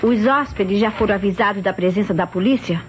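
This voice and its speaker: An actress plays a courteous, obedient housemaid in an old radio mystery. Her voice is of medium female pitch. The recording is muffled, with faint noise. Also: brisk, natural voice. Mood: polite. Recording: dull, noisy old radio drama recording.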